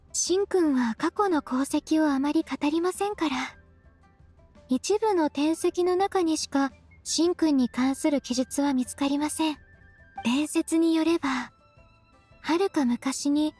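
A woman playing a gentle, earnest young secretary in a Japanese game, courteous and formal in her speech. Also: high voice. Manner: soft-spoken, formal, courteous. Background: music.

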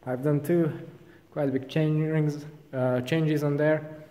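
A young man in a casual vlog, explaining his bike gear in a relaxed, informal way. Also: fairly high pitch, slow pace, long reverb tail. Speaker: vlogger. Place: large indoor bike workshop.

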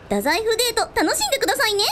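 A woman's voice: extremely high pitch, sing-song, racing, noisy background. A woman playing a cheerful, polite teenage schoolgirl history fan in a radio drama. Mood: bright, polite.

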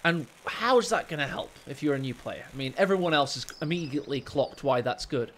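A deep-voiced man gives lively, joking live commentary on a strategy game from a small room at home. His voice sits a little high for a man. Gunfire and battle sounds from the game run clearly beneath his words. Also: brisk, slight background noise. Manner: excitable, jokey, rising with the action. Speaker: game caster. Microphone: desk microphone. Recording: game sound effects under the voice. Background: game gunfire and ambience.

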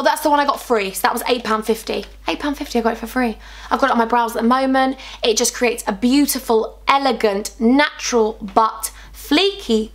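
A young woman, chatting bubbly and gushing in a casual beauty haul vlog, her voice a little worn. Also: a little high. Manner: bubbly, gushing, animated with hands. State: slightly losing her voice. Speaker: beauty vlogger. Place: small indoor room with sofa.

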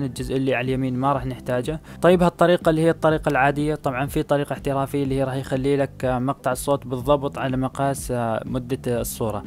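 A man's voice: medium pitch, a little hiss or hum. A man giving a step-by-step screen tutorial, with frequent "aah" fillers. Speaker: video editing tutor. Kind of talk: screen-recorded app tutorial.

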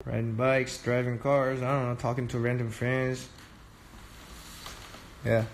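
A young man hosting a casual phone livestream from a dim room at night, reading viewer comments aloud and chatting back in a relaxed way. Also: mid-range voice, measured pace, a little hiss or hum. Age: young adult. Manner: relaxed, chatty, switching between English and Korean. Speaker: livestream host. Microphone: phone held close at arm's length.

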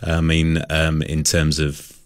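A man's voice: a bass voice, monotone, slow, no room sound, studio quality. A man speaking as a guest in a casual radio interview, rambling a little and feeling his way through answers in a self-effacing manner. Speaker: interview guest, church musician.

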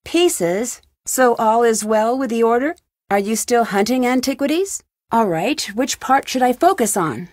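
A woman's voice: a little high, lively intonation, unhurried, a little mellow, dry recording, clean studio sound. A woman playing an inquisitive, lightly wry young female detective in video game dialogue. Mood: curious, friendly. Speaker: video game lead character. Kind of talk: video game dialogue, separate questions and replies.

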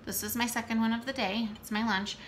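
A woman in her thirties casually showing her meal prep at home, talking in an unhurried way with many fillers. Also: fairly high pitch, fairly even intonation. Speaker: fitness coach vlogger. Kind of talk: casual how-to vlog. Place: home kitchen, indoors. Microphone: camera on the counter. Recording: echoey kitchen with room noise.

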